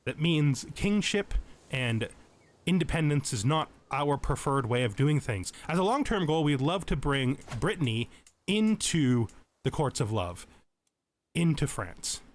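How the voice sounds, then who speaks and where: A man giving tutorial-style game commentary and reading lore aloud in a measured, explanatory, storytelling way. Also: a little high, big pitch swings. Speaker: let's play host.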